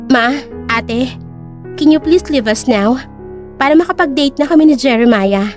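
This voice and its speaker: A woman's voice: sits high, animated, a little slow, faint music behind. A woman voicing a cool, firm young company owner in an audio drama. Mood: composed, assertive.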